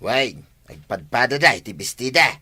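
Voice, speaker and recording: Casting: actor for questioning Ilocano village man, piercingly bright mid-range voice, comedy drama. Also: medium pitch, animated, normal speed. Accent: Ilocano Filipino.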